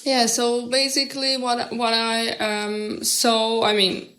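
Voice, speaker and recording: A woman in her thirties from Hungary, a guest in an interview, answering with many hesitant pauses. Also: a little high, completely flat delivery, fairly bright tone. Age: 30s.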